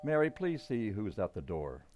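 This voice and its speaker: A man's voice: fairly low pitch, very animated, moderate pace, background noise. A man acting a blustery, stubborn middle-aged father, formal and indignant, in a radio comedy.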